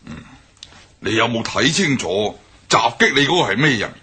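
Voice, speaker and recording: An actor playing a stern, calm elderly gang boss in a radio drama, speaking with a Cantonese accent and a husky, breathy voice. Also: male voice, medium pitch, moderate pace, heavily acted. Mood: concerned. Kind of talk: 1960s-style radio drama acting.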